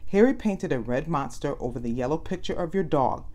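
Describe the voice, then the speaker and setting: A woman voicing a serious, self-important boy detective in a storybook, matter-of-fact and deliberate. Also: deep voice, expressive, dark tone. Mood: explaining proudly. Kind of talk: storybook character voice.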